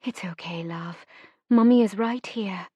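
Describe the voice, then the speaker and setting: A woman's voice: a little high, fairly even intonation, normal speed, warm tone, no room sound, lo-fi sound, voice pushed up, natural voice. A woman voicing a young wartime mother, determined and tender, in earnest, emotionally charged audiobook dialogue. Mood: tender, soothing.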